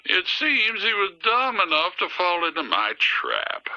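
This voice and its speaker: A man voicing a smug, scheming lawyer villain in an animated game, with a pompous, drawn-out, gloating delivery. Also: high-pitched, heavily acted, rough recording.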